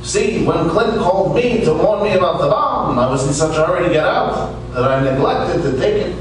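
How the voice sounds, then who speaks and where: A man's voice: fairly high pitch, moderate pace, a little hiss or hum, natural voice. A man playing a chatty, falsely courteous crook in a theatrical radio crime comedy. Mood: smug. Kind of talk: stage radio-play comic crime acting. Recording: echoey, distant stage recording with music.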